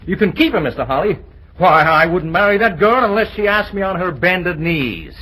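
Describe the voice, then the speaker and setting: A man playing a proud, cocky young suitor in an old-time radio comedy, husky and theatrical. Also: high-pitched, lively intonation, moderate pace, sharp tone, exaggerated voice, poor audio. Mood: defiant.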